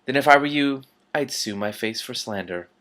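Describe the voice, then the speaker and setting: A man reads as a coolly threatening lord from a fantasy storybook. He is formal and measured, wrapping menace in polite phrases. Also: medium pitch, pushed and bright, lightly acted. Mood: silkily threatening. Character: smooth, menacing male ruler issuing veiled threats.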